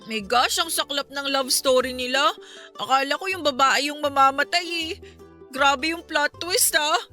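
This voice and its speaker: Radio drama role: sentimental young Filipino woman, high and bright, over soft music. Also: measured pace. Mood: tearful, defensive. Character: tearful young woman, sentimental, teasing with her friend. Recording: music bed behind the voice.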